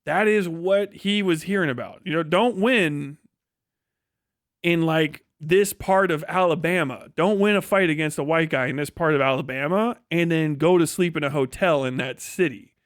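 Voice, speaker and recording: A man's voice: fairly high pitch, fairly bright tone, dry recording, studio quality. A man live streaming a casual, opinionated monologue in a profane, slangy, rambling and emphatic way.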